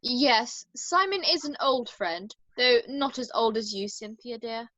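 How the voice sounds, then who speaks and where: A woman playing a bright, catty society woman. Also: a little high, expressive, measured pace, no room sound. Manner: barbed, arch.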